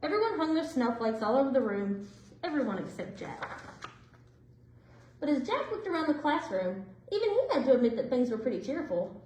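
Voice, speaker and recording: A woman reading a children's storybook aloud in an expressive, deliberate way. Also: sits high. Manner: animated, deliberate phrasing. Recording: roomy indoor echo.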